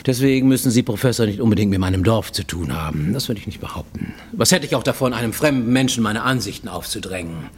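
A man's voice: fairly low pitch, creaky, slight background noise. An actor playing a wary, greying Japanese man in hiding abroad, confiding in a formal, insistent way in a radio play monologue. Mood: wary, secretive, then defensive, polite. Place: studio radio production.

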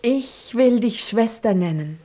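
An ardent young bride in radio verse. Her voice is high and swoops with feeling. She is impulsive and dramatic. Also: animated, slow, mellow. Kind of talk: rhymed radio play acting.

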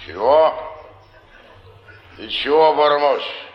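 Slow, cynical flophouse lodger. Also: male voice, fairly high pitch, expressive, soft background music. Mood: indifferent, fatalistic. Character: cynical, indifferent flophouse man, blunt and fatalistic. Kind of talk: 1950s Soviet stage drama. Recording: dull, echoey theatre recording.

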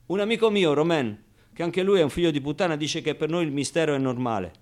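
A man's voice: fairly high pitch, fast talker, natural voice. A man playing a naive, streetwise ten-year-old orphan boy in a colloquial theatrical radio monologue. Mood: frank, reflective. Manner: colloquial, ungrammatical, with interjections and rhetorical asides.

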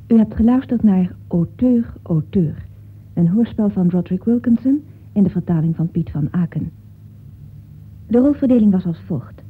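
A woman's voice: medium pitch, expressive, moderate pace, husky. A woman announcer formally reading the scripted closing credits of a radio play. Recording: tinny, noisy old radio recording.

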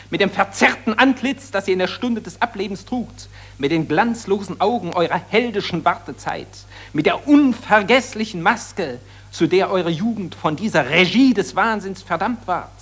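An impassioned orator in a 1940s radio play, declaiming solemn accusations. His voice is high and rough-edged, with sweeping melodic swings. The rhetoric is grand, addressed to the fallen of war. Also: fairly bright tone, heavily acted. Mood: fiery rallying cry. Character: impassioned male satirist accusing warmongers and summoning the war dead.

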